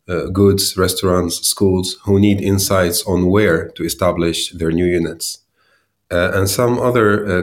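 A man from Syria, a startup founder in his thirties, answering interview questions with many pauses and restarts. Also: low-pitched. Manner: hesitant, many fillers and restarts.

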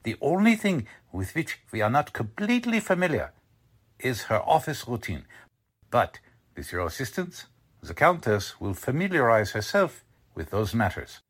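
An actor playing a smug, courteous Nazi commander who speaks deliberately, in the manner of 1940s radio drama. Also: male voice, medium pitch, sing-song, slightly rough. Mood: coolly scheming. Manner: courteous, deliberate, self-satisfied. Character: smug, scheming male Nazi spymaster, a commanding officer.

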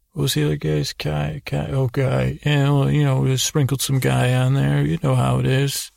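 A man hosting a bedtime podcast in a meandering, halting monologue, stammering and repeating words, whimsical and self-deprecating. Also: mid-range voice, gravelly, a little mellow, dry recording, studio quality. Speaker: podcast host. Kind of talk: rambling bedtime sleep-story monologue.

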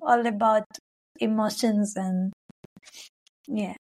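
A woman's voice: mid-range voice, steady tone, slow pace, poor audio. Guest spot: young woman, English, searching for words, lots of "like", easy laughs. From Israel-Palestine. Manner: warm, searching for words, frequent "like" and "you know", laughs often. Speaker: singer, guest. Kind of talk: casual conversational interview.

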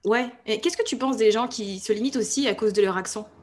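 A woman hosting a podcast, asking questions in relaxed, casual conversation. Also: on the high side, fairly quick. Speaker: podcast host. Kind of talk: casual interview chat.

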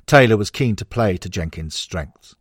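A man's voice: sits low, lively intonation, moderate pace, no room sound, studio quality. A man narrating a non-fiction sports history book in a scripted audiobook reading.

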